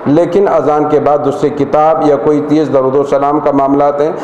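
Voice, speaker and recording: A man's voice: on the high side, little pitch movement, normal speed, darkish tone, lo-fi sound. A man making a public statement and appeal as a community leader. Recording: echoey room, faint background noise.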